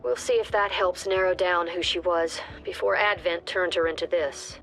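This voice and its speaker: A woman playing an authoritative squad commander, giving measured, clipped briefings in a video game. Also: fairly low pitch, steady tone, a bit bright. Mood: composed, authoritative. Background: prominent music.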